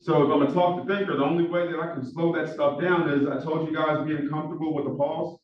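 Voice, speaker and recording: Instructor, male, Philadelphia accent, quick and full of fillers, a little higher voice, picked up from across an echoey classroom. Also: distant mic. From Philadelphia, USA. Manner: talks fast with many fillers, says he is monotone. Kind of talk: classroom lecture. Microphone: far room microphone. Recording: echoey classroom recorded from afar, dull sound.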